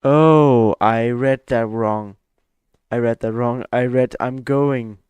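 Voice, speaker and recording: A man's voice: medium pitch, fairly even intonation, slow, darkish tone, smooth, no room sound, muffled recording. A man from Denmark, chatting hesitantly with many fillers while streaming a flight simulator. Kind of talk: live stream gameplay chat.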